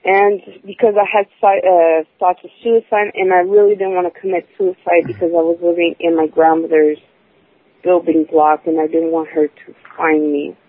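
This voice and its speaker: A woman's voice: lowish voice, level tone, no room sound. Woman in her 20s on a phone call, tinny line, keeps stopping and correcting herself. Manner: halting, restarts and self-corrects often. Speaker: caller. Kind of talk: personal account on a call-in show.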